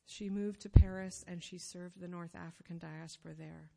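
A woman giving a sermon, telling a story in an earnest, measured way, pausing phrase by phrase. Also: fairly low pitch, fairly even intonation, gravelly, mellow. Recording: long reverb of a hall.

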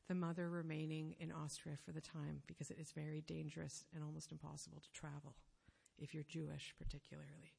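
A woman giving a sermon, telling a story in an earnest, measured way, pausing phrase by phrase. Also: fairly low pitch, creaky. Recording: long reverb of a hall.

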